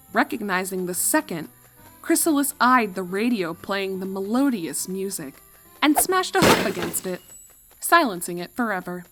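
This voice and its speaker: A woman's voice: mid-range voice, fairly bright tone. Woman narrating a story over music. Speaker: narrator, fan fiction reader.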